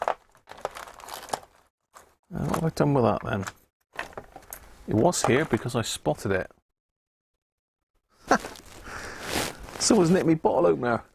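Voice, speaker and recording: A man with an English accent and a gravelly voice, hosting a hobby electronics live stream in a chatty, rambling way, thinking aloud as he works. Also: fairly high pitch, big pitch swings, slow, pauses often, background noise. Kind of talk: casual live-stream chat while working at a bench.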